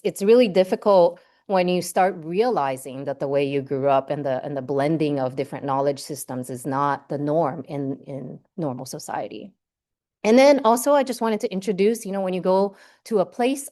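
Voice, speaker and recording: Chatty woman with a low voice. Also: warm tone. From Hawaii. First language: Japanese. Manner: chatty, many fillers like "um" and "like". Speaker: guest seminar speaker. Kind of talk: personal academic seminar talk.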